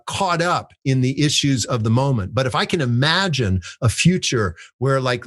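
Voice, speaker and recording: A man's voice: mid-range voice, fairly bright tone, dry recording, clean studio sound. A man co-hosting a casual podcast, talking through ideas in a thoughtful way and using made-up examples. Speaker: podcast co-host.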